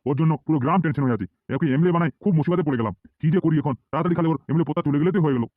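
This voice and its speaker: Cartoon villager played by a man: worried grumbler, brisk talker, tinny narrow recording. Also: on the high side, slight background noise, close to an everyday voice. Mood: worried grumbling. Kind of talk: amateur cartoon dubbing. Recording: tinny, phone-like narrow sound.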